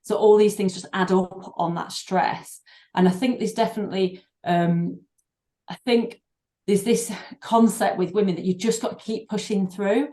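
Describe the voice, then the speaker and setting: A middle-aged woman, a doctor and breathing therapist, speaking in a podcast interview, thoughtful and halting with many fillers and restarts. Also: mid-range voice, steady tone, mellow. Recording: echoey room.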